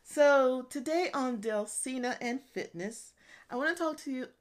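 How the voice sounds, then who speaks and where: A woman's voice: high voice, measured pace. Chatty fifties woman, light voice. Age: 50s. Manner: chatty and self-deprecating, laughs often. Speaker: online fitness and nutrition coach. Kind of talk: casual solo podcast talk.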